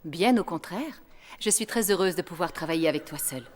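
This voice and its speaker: A woman's voice: on the low side, lively intonation, a little fast, natural voice. A woman playing a passionate, encouraging dance teacher in a French cartoon. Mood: kind. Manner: encouraging, poetic.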